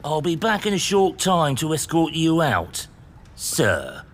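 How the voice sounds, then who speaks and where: An actor playing an officious, sly English gate guard. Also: male voice, a little high, takes it slowly, full character voice. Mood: sly, polite. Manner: deferential yet sly. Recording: steady background noise.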